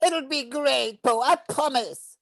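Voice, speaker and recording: A woman voicing an eager, excitable cartoon beetle, theatrical and keen to please. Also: high voice, slow pace, vocal fry, heavily acted. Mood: excited. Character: small, eager, excitable husky beetle, would-be showman. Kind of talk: animation table read.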